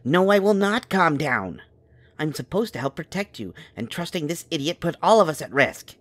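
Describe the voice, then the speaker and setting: A man's voice: a little high, sing-song, normal speed, fairly bright tone, dry recording. A man playing an exasperated, protective member of a magical quest, scolding his companion in an urban fantasy audio drama. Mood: frustrated, anxious.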